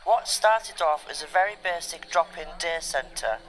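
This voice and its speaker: A man's voice: on the high side, expressive, unhurried, fairly bright tone, slight background noise. A man reading a scripted documentary narration. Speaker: narrator and interviewer.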